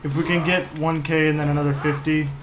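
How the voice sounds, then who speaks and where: A man's voice: on the high side, flat delivery, quick pace, over music. A young man hosting a game stream in casual chat, with a downbeat, self-deprecating manner. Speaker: live streamer, host. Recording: noisy room, typing in background.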